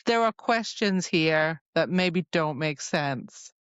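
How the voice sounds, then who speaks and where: A woman's voice: low-pitched, slow, clean tone, no echo. Woman podcaster chatting casually, acts out bits as she retells, dry slightly muffled audio. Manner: animated retelling, mimics characters in mock quotes. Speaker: podcast co-host. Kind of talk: casual book discussion.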